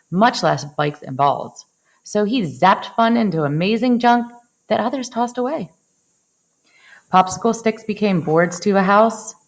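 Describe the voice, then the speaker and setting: A woman reading a picture book aloud in an expressive storytelling style, dwelling on action words with dramatic phrasing. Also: medium pitch. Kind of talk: expressive children's read-aloud narration.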